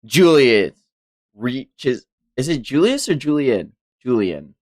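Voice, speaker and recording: A man's voice: mid-range voice, very animated, slow pace, darkish tone. A man streaming a comic read-along, narrating dramatically and reacting in casual, profane language.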